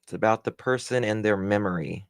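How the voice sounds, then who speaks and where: A man with a British accent making quick, wry comments while he plays back a recording. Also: fairly low pitch, no echo, clean studio sound. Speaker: commentary channel host.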